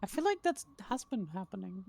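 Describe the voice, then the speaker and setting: A woman whose first language is Dutch, doing relaxed, jokey esports commentary with trailing fillers. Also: mid-range voice, brisk, creaky, no echo. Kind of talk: casual commentary chat.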